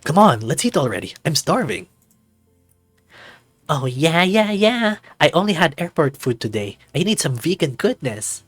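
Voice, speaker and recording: A man's voice: on the high side, very animated, moderate pace, no echo, faint music behind, voice pushed up. A man voicing an upbeat, blunt, college-age monster daughter with a hearty appetite and a casual way of talking. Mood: eager.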